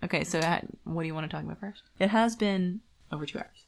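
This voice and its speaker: Woman on a podcast, lowish voice, super casual chatty talk. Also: fast, creaky. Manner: informal, conversational, peppered with "like". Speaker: podcast co-host. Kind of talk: casual chat.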